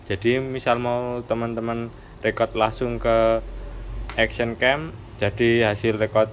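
A man's voice: mid-range voice, flat delivery, a little slow, muffled recording. A man giving a casual hands-on tutorial, explaining step by step in an informal, repetitive way. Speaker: motorcycle accessory shop presenter. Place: indoors at a wooden workbench. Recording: clearly noisy room with background hum.

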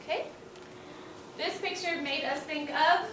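A woman's voice: high voice, a little slow. A young woman teaching small children in a classroom, encouraging them and giving simple, short instructions. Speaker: elementary school teacher. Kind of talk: classroom read-aloud lesson for young children. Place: school classroom, indoors. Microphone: far camera. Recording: echoey classroom, distant camera, loud room noise.

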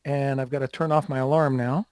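A man's voice: medium pitch, fairly even intonation, a little fast, darkish tone, no room sound, studio quality. A man in his sixties moderating an online round table, speaking into a headset on a video call.

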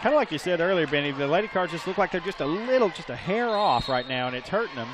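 A man giving relaxed, folksy color commentary on a volleyball match, leaning on "you know" and "kinda". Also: fairly high pitch, fast, a crowd in the background, background noise.

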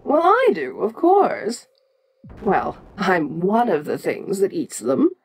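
A woman playing an ancient, imperious giant monster queen in an audio roleplay, speaking in a regal, deliberate and musing manner with frequent pauses. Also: medium pitch, huge pitch swings, slight background noise, poor audio. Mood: matter-of-fact, commanding. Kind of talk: slow in-character audio roleplay monologue.

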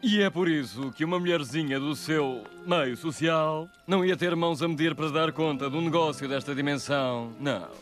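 A man's voice: on the high side, normal speed, fairly bright tone, soft background music, natural voice. A man voicing a smug, condescending businessman in a cartoon fan dub. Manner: condescending, smooth. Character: smug condescending male real-estate businessman. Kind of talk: fan dub of animated film.

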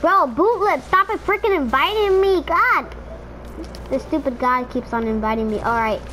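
A woman with a fairly high voice talks casually through a team shooter match. She rambles, fills gaps with "like" and "um", and keeps up a steady chatter. Noisy game sound runs under her voice. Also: moderate pace. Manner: rambling, filler-heavy, chatty. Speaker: streamer playing in a squad. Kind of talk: casual gaming chat. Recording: noisy game audio behind.

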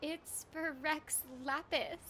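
A woman's voice: sits high, fairly even intonation, takes it slowly, pushed and bright, a little hiss or hum. A young woman chatting casually on a game livestream, giggly and flustered as she reacts aloud. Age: young adult. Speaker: streamer, voice actress. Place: indoor room, streaming setup. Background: game music.

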